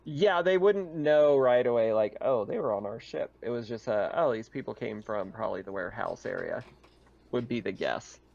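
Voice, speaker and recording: A man's voice: medium pitch, lively intonation, moderate pace, gravelly, a little mellow, a little hiss or hum. Muffled casual man running a tabletop game. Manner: casual, conversational. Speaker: game master. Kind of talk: narrating a tabletop roleplaying game.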